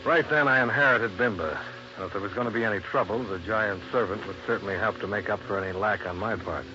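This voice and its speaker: Noisy muffled vintage radio, male actor as tough American detective, hard-boiled, dry, fairly low. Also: quick pace, natural voice. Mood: grim, matter-of-fact. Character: tough, dry American insurance investigator narrating his case. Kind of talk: hard-boiled old-time radio narration and dialogue. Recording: muffled, hissy old radio recording.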